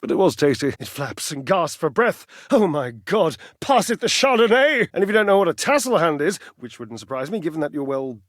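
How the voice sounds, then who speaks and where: An actor playing a vain, witty, lovesick clothes dryer in a video game, with a theatrical, florid and coquettish delivery. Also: male voice, fairly high pitch, sing-song, fairly quick, hardly any pauses, a bit bright, no echo, studio quality. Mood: playful, theatrical. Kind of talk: video game character acting.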